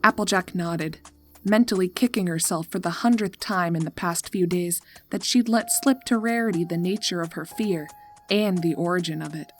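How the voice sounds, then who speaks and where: A woman reads scripted horror fiction as narrator. Her voice is a little low, and her delivery is measured and atmospheric, with music behind her.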